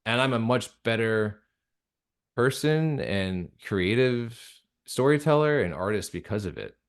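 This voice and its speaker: A man speaking casually and reflectively as an interview guest, earnest and halting, often interrupting himself mid-thought. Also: on the low side, lively intonation. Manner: earnest, halting, conversational with frequent self-interruptions. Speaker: guest, audio drama creator and director.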